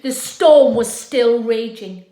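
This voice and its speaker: A woman telling a children's story expressively and dramatically, moving between hushed and louder delivery. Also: on the high side, takes it slowly. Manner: expressive, swinging from hushed to loud. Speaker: storyteller, primary school staff reading to children. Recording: echoey room.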